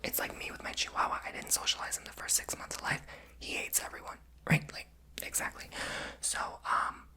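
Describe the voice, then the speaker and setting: Whispered ASMR storyteller: breathy, very bright and airy, rambling and confessional, fillers everywhere. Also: brisk. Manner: rambling, chatty, many fillers; confessional and candid.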